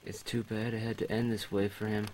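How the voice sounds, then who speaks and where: A man playing a battered, vengeful wanderer in a halting, casual way for an amateur animated film. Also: fairly low pitch, slight background noise, lightly acted. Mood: puzzled, somber.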